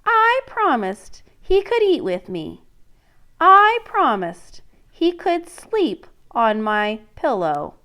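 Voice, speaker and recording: A woman's voice: high voice, very animated, slow pace, bright, forceful tone, pitched above the performer's own voice, exaggerated voice. A woman voicing a petulant, reluctant young fairy-tale princess in an emphatic, protesting manner.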